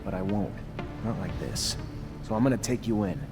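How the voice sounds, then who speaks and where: A man acting as a dutiful lieutenant in a game. He speaks low, with a restrained, resolute tone, over prominent music. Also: expressive, moderate pace, lightly acted. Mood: firm. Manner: restrained, terse. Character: calm, dutiful young army lieutenant, resolute. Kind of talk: video game dramatic acting.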